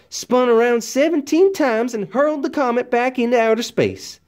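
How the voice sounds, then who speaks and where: A man reads a children's tall tale in a fairly high, very lively voice that rises and dips freely. His delivery is folksy and expressive, leaning on key words for dramatic effect as a classroom read-aloud narrator would. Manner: animated, folksy storytelling with dramatic emphasis.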